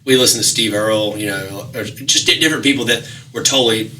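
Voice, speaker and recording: A raspy young man chats loosely as a guest, his low but bright, edgy voice wandering through fillers and restarts. He sits back from the mic, and a faint hiss runs under the recording. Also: quick pace, far from the mic, poor audio. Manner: relaxed, rambling, many fillers and restarts. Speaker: musician guest. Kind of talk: casual interview chat.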